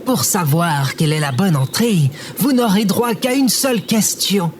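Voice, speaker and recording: A woman's voice: lowish voice, lively intonation, measured pace, a little hiss or hum. A woman playing an anxious, self-pitying robot guard in a comedic audio drama, dramatic and whiny. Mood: pompous.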